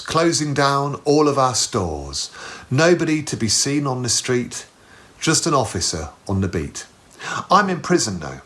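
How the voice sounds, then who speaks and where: Animated man reciting rhyming poetry. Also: medium pitch. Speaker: poetry performer. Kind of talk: spoken-word poetry recital.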